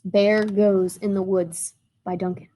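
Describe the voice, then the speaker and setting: A woman teacher reading a picture book aloud in an animated storytelling way. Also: medium pitch, slow pace.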